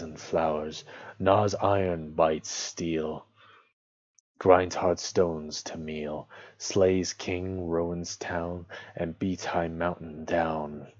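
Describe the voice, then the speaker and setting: Storybook creature: slimy, hungry, wicked cave dweller. Throaty hissing rasp, drawn-out wheedling repetition, slightly muffled sound. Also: male voice, low voice, flat delivery, a little mellow, no echo, full character voice. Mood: slow, menacing. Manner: drawn-out, repetitive muttering, calls himself us. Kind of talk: storybook character voice.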